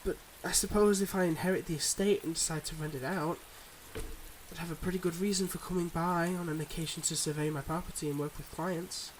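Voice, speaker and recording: A man playing a devoted but guarded mob boss boyfriend in an intimate ASMR roleplay, speaking in earnest, measured confessions. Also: fairly high pitch, steady tone, slight background noise, natural voice, rough recording. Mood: guarded, explaining.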